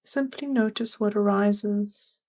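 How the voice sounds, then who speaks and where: A woman leading a scripted guided meditation, giving gentle, measured and soothing instructions. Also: mid-range voice, fairly even intonation.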